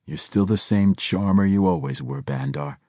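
A man's voice: low voice, moderate pace, dark tone, husky, no echo, studio quality. A man voicing a cool, weary secret agent who speaks in a mild, wry, understated way.

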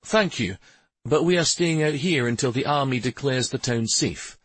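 A man voicing a hot-tempered young prince in an audiobook story, brooding, defensive and quick to snap. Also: medium pitch, fairly bright tone, husky, dry recording, voice pushed up. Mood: firm, defensive. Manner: snapping, argumentative.

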